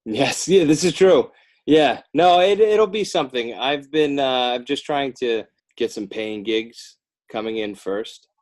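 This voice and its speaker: A man's voice: mid-range voice, expressive, a little slow, a little mellow. A middle-aged American man chatting casually as a podcast guest, rambling in a wry, self-deprecating way. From northern New Jersey, United States. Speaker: podcast guest, animator and children's author. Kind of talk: casual joking interview chat.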